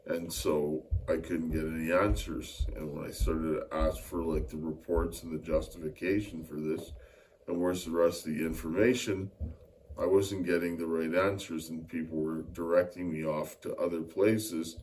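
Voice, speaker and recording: Deep, flat-voiced man. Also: normal speed. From Winnipeg, Canada. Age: middle-aged. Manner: technical, explanatory, hesitant fillers. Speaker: guest. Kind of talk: interview answers. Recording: distant mic with faint ambient noise.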